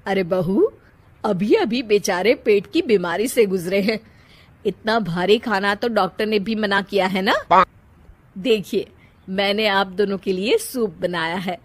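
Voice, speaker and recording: A woman's voice: medium pitch, a little slow, lightly acted. A woman voicing a scheming, competitive older mother-in-law in a cartoon story, nagging and pointed. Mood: pointed, self-promoting.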